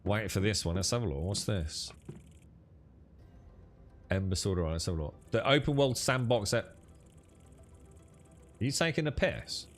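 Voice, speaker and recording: A man's voice: on the low side, expressive, normal speed, pauses often, faint music behind. A young man streaming games, chatting with friends in a blunt, sweary, dismissive way. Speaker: gaming streamer. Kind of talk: casual gaming stream chat.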